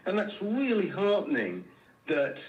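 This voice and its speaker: A man's voice: high-pitched, wildly swooping pitch, a little slow. Echoey, distant man on an earnings call. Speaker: company executive. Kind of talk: answering questions on an earnings call. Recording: muffled, echoey room, distant microphone.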